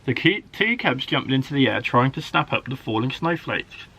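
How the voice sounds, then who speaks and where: Animated man reading a children's story. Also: mid-range voice, moderate pace, a little hiss or hum. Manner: expressive, dramatic delivery. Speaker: primary school teacher reading stories.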